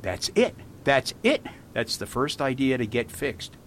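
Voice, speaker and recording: A man playing a gentle, shrewd priest detective in a radio mystery, patiently explaining with amusement. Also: sing-song, a little slow. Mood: playful explaining. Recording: faint rumbling background noise.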